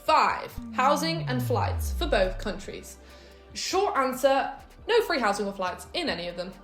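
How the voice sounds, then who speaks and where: A woman's voice: on the high side, big pitch swings, unhurried, faint music behind. Upbeat woman co-hosting, roomy echo. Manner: upbeat, laughs often, counts off points. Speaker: co-host. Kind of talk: casual scripted chat.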